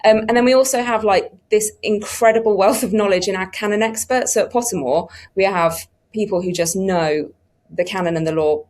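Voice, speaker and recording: A woman's voice: mid-range voice, a little fast, a little mellow. A woman explaining production work as a producer in an interview. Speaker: audio producer on a panel.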